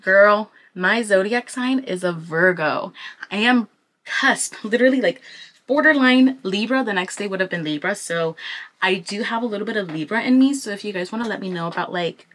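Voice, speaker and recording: Socal girl in her 20s doing a chatty grwm vlog, slangy and casual, mid pitched voice. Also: normal speed. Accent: Southern California American. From Anaheim, California, United States. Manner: informal, slangy, animated when quoting people. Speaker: vlogger. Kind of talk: casual chatty get-ready-with-me talk.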